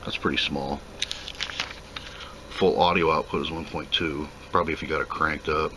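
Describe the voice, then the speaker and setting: A man's voice: a bass voice, fairly even intonation, normal speed, sharp tone, slight background noise, low-quality recording. A plainspoken man giving an off-the-cuff, casual commentary as he reviews and unboxes a product.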